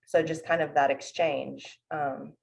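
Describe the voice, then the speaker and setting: A woman's voice: sits low, fairly even intonation, measured pace, gravelly, a little mellow, slight background noise, rough recording. A woman therapist speaking humbly and thoughtfully about her clients on a panel. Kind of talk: panel discussion.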